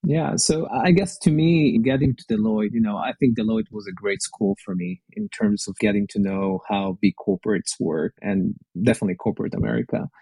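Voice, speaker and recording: A man's voice: fairly low pitch, fairly quick, creaky, hardly any pauses, dark tone, slightly rough, no room sound, rough recording. A middle-aged Israeli man with an Israeli accent, speaking English as a second language as a guest in a podcast interview. He talks thoughtfully and sometimes repeats words while he searches for the phrasing. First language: Hebrew. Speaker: guest, venture investor.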